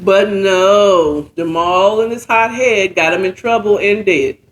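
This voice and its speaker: Slow-talking woman retelling a story off the cuff. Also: mid-range voice, low-quality recording. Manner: halting, conversational, checks in with listeners. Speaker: storyteller retelling a book chapter. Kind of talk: informal ad-libbed story retelling.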